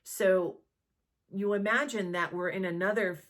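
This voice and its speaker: A woman real estate agent talking conversationally to camera, explaining as she goes and often checking in with a quick questioning tag. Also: mid-range voice, steady tone. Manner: conversational, checks in with rhetorical "right?".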